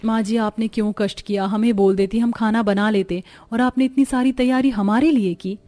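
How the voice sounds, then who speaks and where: An obedient young bride in a cartoon family story, played by a woman. Her voice is on the high side. She talks earnestly and respectfully, eager to please. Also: fairly even intonation, normal speed, dark tone, natural voice. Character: eager, obedient young daughter-in-law, newly married.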